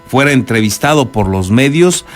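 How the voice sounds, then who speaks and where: A man's voice: medium pitch, expressive, normal speed. A man with a Mexican accent anchoring a news programme, mixing reports with spoken commentary. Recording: music bed behind the voice. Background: background music.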